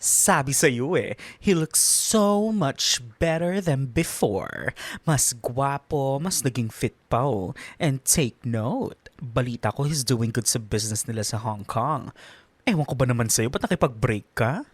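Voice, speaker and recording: A woman's voice: rumbling low, expressive, unhurried, husky, dry recording, heavily acted. A performer, a woman, playing a sassy, gossipy young friend with a Filipino accent and exaggerated delivery in a radio drama. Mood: gushing.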